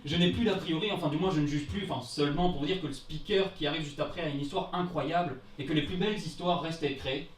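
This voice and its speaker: A young man from France performs a comic story live on stage. His voice sits fairly high, and his delivery is theatrical, casual and self-deprecating. The sound is clearly roomy, picked up a little way from the microphone. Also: quick pace, hardly any pauses, far from the mic, a crowd in the background. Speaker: amateur storyteller at a live travel-story event. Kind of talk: comic personal travel story told on stage. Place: indoor live storytelling event before an audience.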